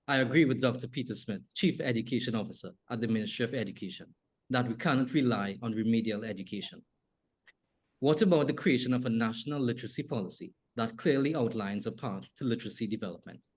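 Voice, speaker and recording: A man with a Trinidadian accent hosting a talk show, reading a prepared opinion piece in a measured way before questioning his guests. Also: medium pitch, darkish tone. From Trinidad and Tobago. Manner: measured, reads a prepared essay. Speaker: podcast host. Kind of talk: scripted opinion monologue, then interview.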